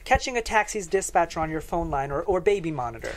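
A woman playing a guarded woman lecturer in a screenplay read, measured and understated. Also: on the low side, sing-song. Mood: explanatory. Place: small podcast studio.

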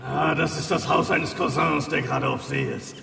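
Radio drama alien dignitary, heavy creak, slightly high voice, courtly and effusive welcome manner. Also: male voice, wildly swooping pitch, moderate pace, sharp tone, exaggerated voice. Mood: gracious, proud. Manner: effusive, formal, polite. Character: courteous, proud, long-lived alien host showing visitors around. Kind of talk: science fiction radio drama acting. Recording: noisy ambience behind the voice.

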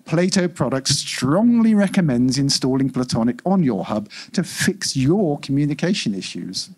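Give me a customer-service AI from a near-future story, read aloud by a man. It is unfailingly polite and quite implacable. The patter is scripted and corporate, in a slightly husky voice with lively swings of pitch. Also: moderate pace. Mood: bland, implacable. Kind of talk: live short-story reading.

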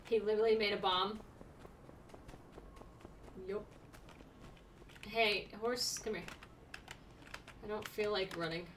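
A woman's voice: medium pitch, fairly even intonation, fairly bright tone, recorded from a distance, slight background noise. Sarcastic young woman gaming streamer. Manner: sarcastic, blunt, quick reactions. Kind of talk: casual let's-play commentary. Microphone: desk mic, webcam.

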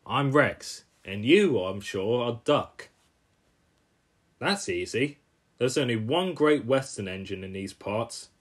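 A man performs a small cartoon engine, proud and knowing. He talks with self-assurance at a measured pace. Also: mid-range voice, animated. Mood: self-assured.

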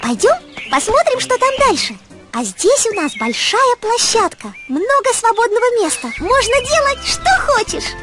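A woman's voice: very high-pitched, very animated, normal speed. A woman voicing a friendly young creature who kindly guides the player in a children's game. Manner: gentle, encouraging, guiding the player. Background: music.

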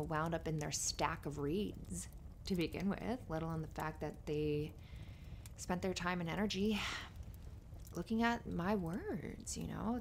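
A woman playing an affectionate, playful writer girlfriend in an intimate ASMR roleplay, gently reassuring her partner in a giggly, rambling way. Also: fairly low pitch. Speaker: audio roleplay performer. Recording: noisy fireplace ambience behind voice. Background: fireplace ambience.

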